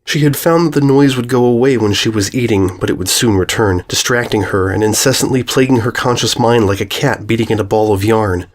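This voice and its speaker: A man's voice: lowish voice, fairly quick, few pauses. A man giving a scripted, dramatic narration as a storyteller.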